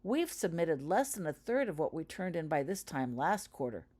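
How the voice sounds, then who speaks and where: A woman's voice: sits low, sing-song, normal speed, a little mellow, slightly rough, full character voice. Woman reading as bossy-organised schoolgirl in a storybook, earnest team leader, businesslike and rallying. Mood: concerned. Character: earnest, organised schoolgirl leading her study group.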